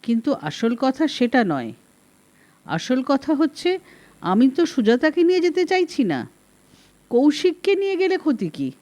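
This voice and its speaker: A woman voicing an unflappable middle-aged detective husband in a story, terse and measured. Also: high-pitched, big pitch swings, darkish tone. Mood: reasoning calmly.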